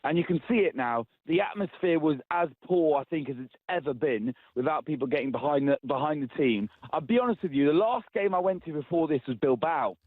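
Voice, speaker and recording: A man's voice: on the high side, animated, fairly quick, hardly any pauses, no echo. A man calling a radio phone-in from his car, exasperated and repeating himself. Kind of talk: casual phone-in call. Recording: tinny phone line.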